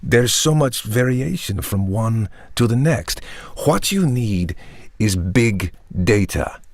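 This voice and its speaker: Gravelly, persuasive vampire tech visionary. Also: male voice, lowish voice, animated, slow, dry recording, heavily acted. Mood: enthusiastic. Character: charismatic, ambitious vampire tech entrepreneur, smooth visionary salesman. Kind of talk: dramatic monologue, polished pitch.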